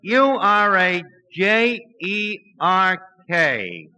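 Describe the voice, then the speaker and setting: A man acting a brash, scheming tavern manager with rough wise-guy grammar in a 1940s radio comedy. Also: high voice, very animated, drawn-out words, pushed and bright, highs cut off, full character voice. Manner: uneducated wise-guy talk, bad grammar. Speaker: comic lead in a radio sitcom. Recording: old 1940s radio recording, light noise.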